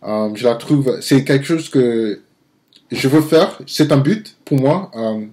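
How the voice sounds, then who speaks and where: A young man in his twenties with an American accent and English as his first language speaks in a medium-pitched voice. He talks casually to the camera like an amateur vlogger, often searching for words and restarting his phrases. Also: expressive, a little mellow. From Nigeria-born, grew up in the United States. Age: 20s. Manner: halting, searching for words, self-correcting. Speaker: amateur video blogger.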